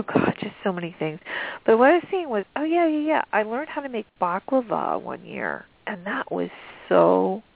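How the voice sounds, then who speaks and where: A woman's voice: fairly low pitch, gravelly, dry recording. A sniffly woman co-hosting a cooking show, chatting casually and rambling with lots of fillers. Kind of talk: casual chat. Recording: dry tinny phone line.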